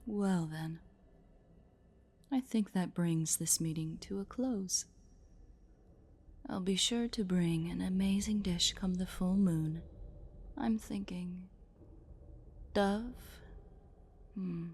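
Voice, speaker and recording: A woman voicing a possessive, sadistic spider-woman in a roleplay audio drama, smugly playful, with breathy, teasing, condescending coos over music. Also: fairly low pitch, takes it slowly, pauses often, a little mellow, heavily acted. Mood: smugly polite.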